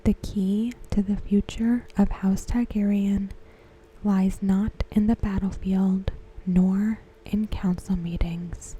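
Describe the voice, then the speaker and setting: A woman softly whispering a scripted recap in ASMR style, close to the microphone, with gentle, deliberate phrasing. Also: medium pitch, fairly even intonation, poor audio. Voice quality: soft, breathy, mostly whispered. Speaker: ASMR host. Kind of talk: ASMR, softly reading a scripted episode synopsis.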